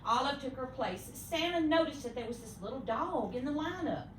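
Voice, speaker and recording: A woman reading a children's storybook aloud expressively, with theatrical, deliberate emphasis for young listeners. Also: high voice, lively intonation. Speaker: storybook reader. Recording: echoey room, distant mic, faint noise.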